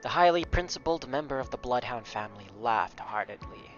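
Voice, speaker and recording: Guy streaming a game, jokey casual commentary, kinda muffled sound w soft game music behind. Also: medium pitch, lively intonation, fairly quick. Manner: joking, reacting aloud.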